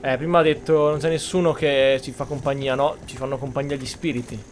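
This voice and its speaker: Fast-talking man doing casual game commentary. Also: mid-range voice, flat delivery, background noise. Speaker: gaming channel host.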